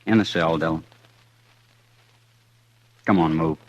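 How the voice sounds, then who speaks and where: An actor playing a cool, defiant condemned outlaw in a 1950s radio western, slow and menacing. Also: male voice, rumbling low, steady tone, dry recording, full character voice. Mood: cold, taunting. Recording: dull vintage radio recording.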